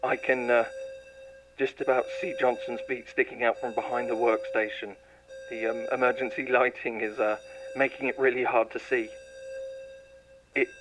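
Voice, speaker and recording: A man voices a lab scientist in a horror audio drama, dictating test logs in a measured, clinical tone. His voice sits at an ordinary middle pitch for a man. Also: poor audio. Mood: frightened. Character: male lab scientist logging tests, growing frightened. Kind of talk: scripted horror audio narration.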